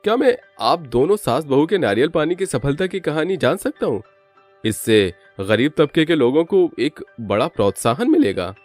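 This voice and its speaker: A man's voice: fairly high pitch, big pitch swings, normal speed, a little mellow, faint music behind. A man voicing a courteous, inquisitive male reporter in a story, with polite, formal questioning. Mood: earnest, admiring.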